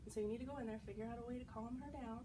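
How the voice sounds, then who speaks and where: Amid clear room noise, a woman plays a female angel nurse in an amateur skit. She admonishes earnestly and quickly, firm as a conscience. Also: fairly high pitch, steady tone, natural voice. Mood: firm reproach. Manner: firm, lecturing. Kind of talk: amateur training skit acting. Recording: distant microphone, clear background noise.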